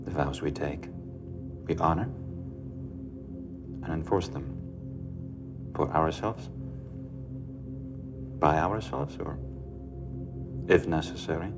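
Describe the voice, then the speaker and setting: An actor playing a grave, controlling husband in a mystery film, speaking in a deliberate, solemn way with quiet menace. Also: male voice, a bass voice, music in the background, exaggerated voice. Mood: quietly threatening. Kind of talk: film drama acting.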